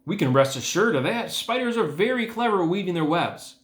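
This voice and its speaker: A man reading a children's book aloud as host, with expressive, emphatic phrasing. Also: sits high, brisk. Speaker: read-aloud host, educator. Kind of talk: storybook read-aloud narration. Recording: echoey room.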